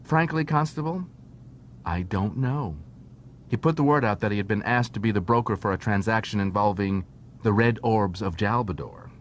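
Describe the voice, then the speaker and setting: A man's voice: normal speed, lightly acted. A man voicing a wily, flippant female con artist with a breezy, teasing, evasive manner in an audiobook. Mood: sly, flippant. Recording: noisy track with low rumble.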